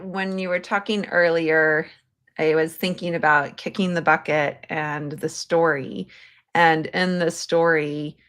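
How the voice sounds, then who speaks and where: A woman hosting a conversational interview, feeling her way through questions in halting, tentative phrasing. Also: low voice, a bit bright. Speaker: podcast host.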